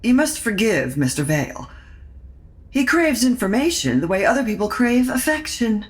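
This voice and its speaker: A woman's voice: on the high side, big pitch swings, normal speed. An actress playing a witty, aloof society lady in an audio drama, dry, arch and cutting. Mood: dryly cutting.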